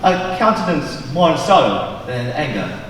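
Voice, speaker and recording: A man's voice: medium pitch, sing-song, moderate pace, a bit bright. A young man playing an earnest, formal Elizabethan courtier, declaiming grandly in a stage play. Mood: solemn, urgent. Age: young adult. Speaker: student stage actor. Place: large indoor school auditorium, on stage. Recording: echoey auditorium, distant mic, faint noise.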